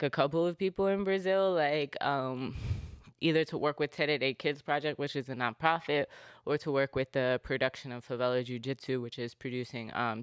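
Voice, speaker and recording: A man hosting a podcast in casual, rambling talk, full of fillers and animated asides. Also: a little high, smooth, no echo, clean studio sound. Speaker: podcast host.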